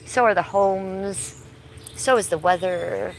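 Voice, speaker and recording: A woman's voice: medium pitch, slow pace, creaky, a little hiss or hum. A woman chatting casually on a livestream from her backyard, sick and losing her voice a little, loose and swearing now and then. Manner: chatty, loose, swears casually, talks to chat viewers by name. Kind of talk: casual livestream chat outdoors. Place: backyard under trees, outdoors.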